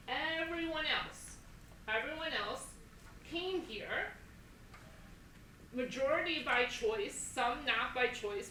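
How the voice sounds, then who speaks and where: A woman moderating an assembly, explaining things deliberately and repeating phrases for emphasis, recorded in an echoey hall. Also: a little high, sharp tone, distant mic. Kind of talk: educational talk to an assembly. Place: school assembly, speakers on a stage. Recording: echoey hall from afar, faint noise.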